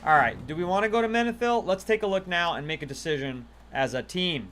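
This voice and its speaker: A man's voice: high-pitched, quick pace, a bit bright. A man casually chatting on a gaming livestream, playful and talkative, thanking viewers as he plays. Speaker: livestream gamer.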